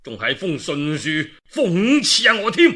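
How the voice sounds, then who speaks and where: A man's voice: on the high side, huge pitch swings, a little slow, bright, forceful tone, heavily acted. A man voicing a proud, hot-tempered male warlord in Cantonese-accented storytelling, blustering and emphatic. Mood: outraged.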